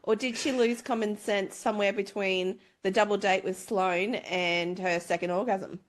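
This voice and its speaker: A woman's voice: medium pitch, fairly even intonation, moderate pace. Woman, book club host, casual chat, playful, teasing.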